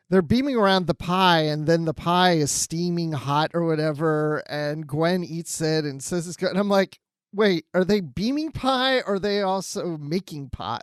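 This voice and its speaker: A man with a fairly high voice in a very dry recording. He chats informally as a podcast co-host reviewing TV, reacting in a jokey, conversational way. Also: no echo. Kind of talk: casual TV episode review chat.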